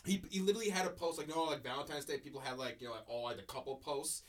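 A man co-hosting a podcast, telling stories in loose casual chat. He rambles and stumbles over his words. His voice sits a little high for a man and sounds a bit far from the mic. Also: rapid-fire. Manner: rambling, many restarts and stammered repeats. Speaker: podcast co-host.

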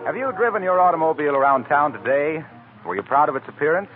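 A man's voice: on the high side, lively intonation, fast, over music. A man announcing a scripted commercial in 1940s radio advertising style. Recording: narrow-band old radio broadcast.

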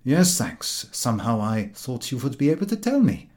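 Storybook dog, voiced by a male reader: sly, gently taunting, falsely innocent, with lively pitch movement. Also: moderate pace, natural voice. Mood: mock innocent.

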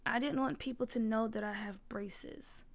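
A woman's voice: fairly high pitch, lively intonation, a little slow, bright, forceful tone, exaggerated voice. A woman voicing an upset, whiny young schoolboy in a storybook, with a pleading, emphatic, slangy way of talking. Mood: subdued, ashamed.

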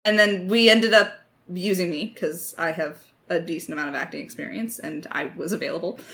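A woman's voice: lowish voice, fairly quick, fairly bright tone. A woman chatting casually and informally, given to giggling, as a podcast writer and voice actor in a creator Q&A. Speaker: lead writer and voice actor of an audio drama podcast.

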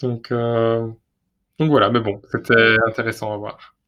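A man's voice: medium pitch, little pitch movement, measured pace, bright, forceful tone, highs cut off. A man co-hosting a podcast, talking casually with many fillers and repeated words. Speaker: podcast co-host. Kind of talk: casual chat.

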